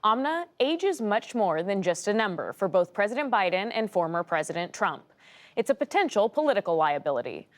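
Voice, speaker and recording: A woman news correspondent presenting a report in a crisp, expressive delivery. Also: medium pitch, a little fast.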